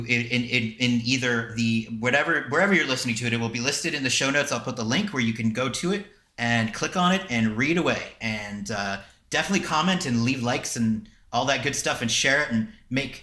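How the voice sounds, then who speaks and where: A man's voice: medium pitch, sharp tone, reverberant. A man hosting a casual podcast, rambling along and often stopping to repeat himself. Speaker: podcast host.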